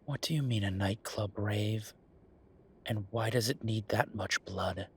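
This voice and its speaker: A man playing an exasperated, firm werewolf bureaucrat in an audio roleplay, talking emphatically in a dry, husky voice. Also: on the low side, measured pace, slight background noise, natural voice. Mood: incredulous, appalled. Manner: exasperated, emphatic, repeating himself. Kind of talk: audio roleplay acting, one side of a phone call.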